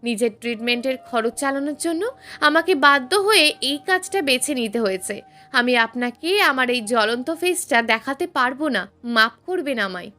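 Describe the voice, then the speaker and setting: A woman's voice: high-pitched, expressive, moderate pace, pushed and bright. A woman voicing a scheming young female villain in an audio story, dramatic in delivery. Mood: pleading.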